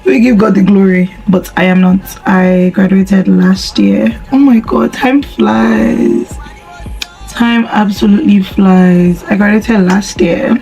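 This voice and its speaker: Husky young Nigerian woman chatting. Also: mid-range voice, takes it slowly, soft background music, poor audio. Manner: slangy, rambling, deadpan humor. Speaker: vlogger. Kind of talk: casual chat while doing makeup.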